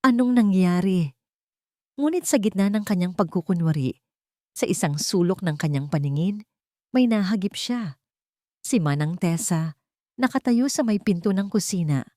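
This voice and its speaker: Low-voiced woman narrating drama. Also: dark tone, no room sound, clean studio sound. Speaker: storyteller.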